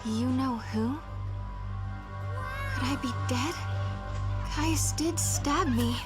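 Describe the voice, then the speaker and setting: Video game heroine: gentle, anxious young woman, high register, unhurried earnest delivery. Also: lively intonation. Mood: bewildered. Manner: earnest, hesitant, questioning. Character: gentle young woman, anxious, bewildered time traveler with resolve. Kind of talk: dramatic video game voice acting. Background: music.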